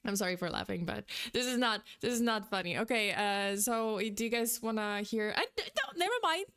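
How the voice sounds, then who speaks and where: A woman's voice: medium pitch, animated, fairly quick, fairly bright tone, smooth. A woman with a Polish accent giving casual, rambling commentary as a streamer, with lots of fillers and laughter. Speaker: VTuber commentator.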